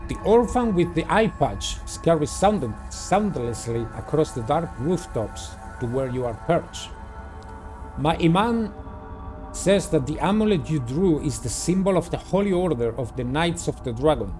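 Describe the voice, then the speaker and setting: Male game-playthrough host, slightly high pitch, narrates on-screen story text with casual asides, soft music underneath. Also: expressive, measured pace. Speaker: video game player and host. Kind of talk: reading a visual novel aloud with casual commentary. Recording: music playing behind the voice. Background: background music.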